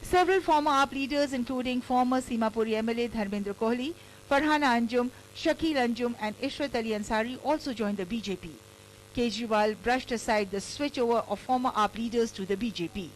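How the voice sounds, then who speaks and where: A woman reporter with an Indian accent narrates a scripted news package in a fairly high voice. There is a faint hum behind her. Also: fairly quick, low-quality recording.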